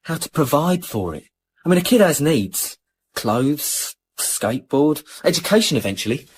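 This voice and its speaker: An actor playing a cocky, self-justifying small-time criminal in a radio crime drama, boastful and wheedling. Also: male voice, a little high, sing-song, normal speed, slightly rough.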